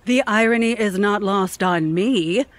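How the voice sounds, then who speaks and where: A woman's voice: medium pitch, unhurried, dry recording, close to an everyday voice. A woman playing a smug, scheming office worker in an audio theatre comedy.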